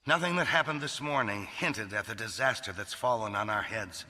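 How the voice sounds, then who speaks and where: A man's voice: fairly low pitch, fairly quick, pushed and bright. An actor playing a middle-aged rebel leader and farmer father in an audio drama, earnest, firm and plain-spoken. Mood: grim, urgent. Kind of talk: audio drama acting and narration.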